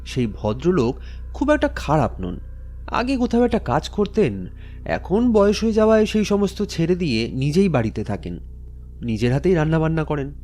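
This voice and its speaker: High-voiced, earnest, troubled Kishori. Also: male voice, sing-song, moderate pace, darkish tone, faint music behind. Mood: pensive, puzzled. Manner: earnest, hesitant, respectful. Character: Kishori, troubled male office-going friend, earnest and hesitant. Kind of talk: Bengali audio story acting.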